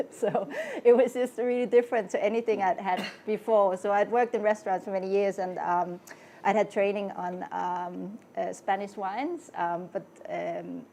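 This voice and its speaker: A woman's voice: mid-range voice, fairly even intonation, moderate pace, gravelly. A woman in her forties from Denmark, a restaurant wine buyer, introducing herself on a podcast in a hesitant way full of ums. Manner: hesitant, many ums, laughs softly.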